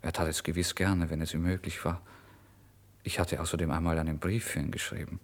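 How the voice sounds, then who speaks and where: Low-voiced, feverish starving writer. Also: male voice, flat delivery, a little fast. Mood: anxious. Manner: theatrical, volatile, swinging between hushed and exclaiming. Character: starving, feverish, proud young male writer, giddy and erratic. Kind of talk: first-person literary radio drama monologue.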